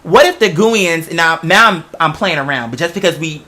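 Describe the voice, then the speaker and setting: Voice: medium pitch, animated, normal speed, sharp tone. A chatty podcast host telling stories in a casual, rambling way, with slangy, emphatic phrasing and frequent digressions. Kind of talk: casual rambling storytelling to an audience.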